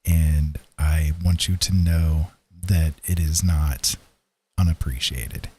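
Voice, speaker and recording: A tired man hosting a livestream, chatting casually in a rambling, appreciative way with pauses. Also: extremely low pitch, fairly even intonation, darkish tone, slightly rough. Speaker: livestream host, digital painter.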